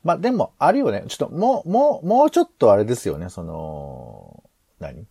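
Male co-host, wide-ranging pitch with heavy vocal fry, tentative and informal. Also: big pitch swings, normal speed, dark tone, no echo. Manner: hesitant, tentative, circling around points. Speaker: podcast co-host. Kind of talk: casual discussion.

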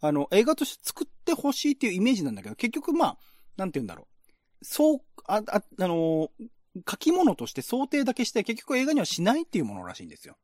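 Male host, lightish pitch, highly animated, dry close sound, conversational explainer who checks in with listeners. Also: fast, no echo. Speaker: host and reader of a radio-drama reading show. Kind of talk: casual explanatory discussion.

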